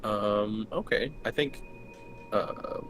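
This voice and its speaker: A man's voice: on the low side, slow, a bit bright, faint music behind, poor audio. A man chatting casually as a player in a tabletop game, tentatively thinking aloud.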